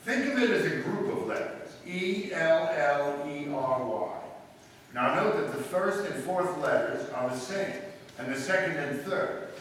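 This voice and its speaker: A man acting a confident, sharp detective explaining a solution in a live old-time radio play. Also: fairly high pitch, expressive, a little hiss or hum. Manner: brisk, explaining, self-assured. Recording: echoey hall, mic heard from far.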